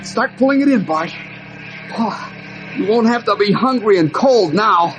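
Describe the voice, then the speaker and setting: Anxious, high-voiced stranded young man. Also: expressive, measured pace, poor audio. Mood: relieved, eager. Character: weary, hungry stranded young man on a mountain ledge. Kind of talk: old-time radio adventure acting. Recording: old radio recording.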